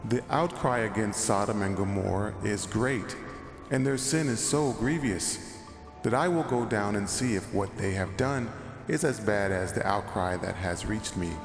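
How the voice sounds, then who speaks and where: A man voicing the Lord, a solemn male deity, in a grave, measured way, with music behind. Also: lowish voice, echoey room, long reverb tail.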